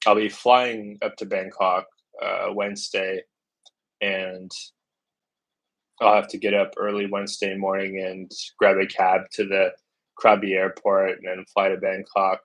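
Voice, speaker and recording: Casting note: man from the US, slightly low voice, easygoing travel streamer, rambles and restarts. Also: level tone, measured pace, fairly bright tone, low-quality recording. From United States. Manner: rambling, thinking aloud with many fillers. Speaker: travel vlogger. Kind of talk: casual livestream chat.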